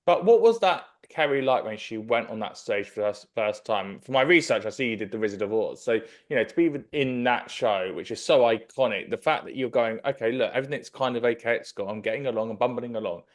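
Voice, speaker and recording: A man in his thirties hosts a podcast interview with a medium-pitched voice. He asks long, casual questions. He speaks into a desk microphone in his kitchen at home. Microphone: desk microphone and headphones.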